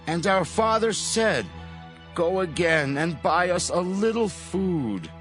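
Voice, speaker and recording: A humble brother begs before his lord as a man acts him out in a high, highly animated voice. The delivery is imploring and declamatory Bible drama, with faint music behind it. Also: takes it slowly, heavily acted. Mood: sorrowful, earnest pleading. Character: Judah, pleading humble brother, anxious servant before lord. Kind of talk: dramatized Bible audio acting.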